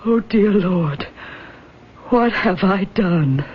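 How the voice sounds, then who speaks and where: A woman's voice: medium pitch, lively intonation, extremely slow. An actress playing a distraught, abandoned young mother with theatrical, pleading grief in an old radio drama. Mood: anguished. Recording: muffled old radio broadcast recording.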